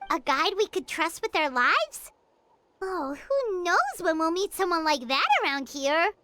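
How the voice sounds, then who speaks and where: A woman's voice: sing-song, measured pace, very noisy, exaggerated voice. A woman voicing a tiny, childlike floating fairy companion in a video game, chirpy, eager and exclamatory. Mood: wry. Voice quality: squeaky, childlike. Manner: exclamatory, exaggerated. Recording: music behind the voice.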